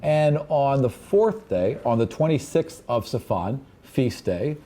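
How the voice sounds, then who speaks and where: Male game master, mid-pitched and mellow, narrating fantasy scenes descriptively with offhand asides. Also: lively intonation, slow, soft background music.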